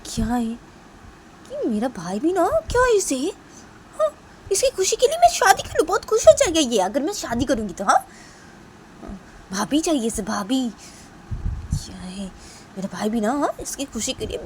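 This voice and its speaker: A woman voicing a stubborn young sister who refuses to marry, talking high and loud in indignant protest. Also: animated, takes it slowly, long pauses, a little hiss or hum. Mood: exasperated.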